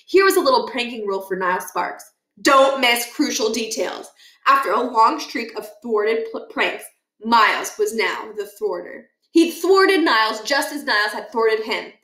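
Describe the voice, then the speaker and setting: Bright-voiced woman reading a children's story. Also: on the high side. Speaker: read-aloud storyteller. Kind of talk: expressive children's book narration. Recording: echoey, roomy sound.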